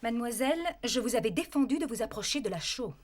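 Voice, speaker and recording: A woman's voice: fairly high pitch, expressive, fast. A woman playing a composed, formal and authoritative aristocratic mother in a French cartoon. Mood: firm. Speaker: mother and mistress of the house. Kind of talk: French animated series dubbing.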